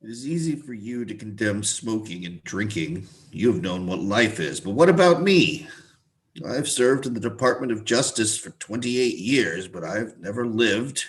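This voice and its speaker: A man's voice: lowish voice, lively intonation, normal speed, natural voice, rough recording. A man playing a wistful, grumbling retired civil servant of sixty-five in a play reading, plaintive and rambling. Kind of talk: cold play reading over video call.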